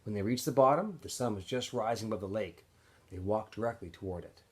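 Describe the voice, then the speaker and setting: A man reading a novel aloud as a teacher would for students, in an expressive, animated storytelling way with phrases that often trail off softer. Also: fairly low pitch, fairly quick. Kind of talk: expressive audiobook-style read-aloud narration.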